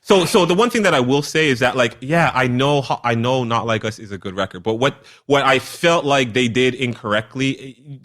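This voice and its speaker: Bright-voiced male radio co-host. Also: medium pitch, normal speed, hardly any pauses. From Canada. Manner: laughs often, rambling restarts. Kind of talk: casual chat.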